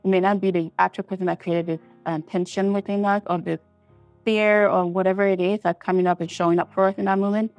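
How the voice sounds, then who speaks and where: A woman's voice: mid-range voice, flat delivery, fast talker, a little mellow, soft background music. A woman from Liberia answering as an interview guest, reflective and hesitant, circling gradually toward her point.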